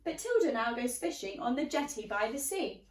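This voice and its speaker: A woman reading a children's picture book aloud expressively, pausing to ask her young listeners questions. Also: a little high, big pitch swings, distant mic. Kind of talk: animated children's story reading. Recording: roomy, echoey, away from the mic.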